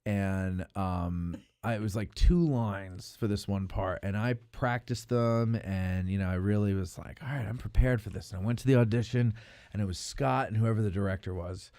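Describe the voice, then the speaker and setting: A man's voice: lowish voice, moderate pace, warm tone, no room sound, studio quality. A man hosting a podcast in casual chat, with a joking, teasing manner. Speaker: podcast host.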